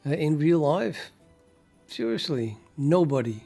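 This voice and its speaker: An older man from the Netherlands with a Dutch accent, talking casually and haltingly to his livestream chat while he codes. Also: on the high side, very animated, gravelly, warm tone, breathy edge, faint music behind. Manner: musing, hesitant, halting with many fillers, chuckles to himself. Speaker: programming livestreamer.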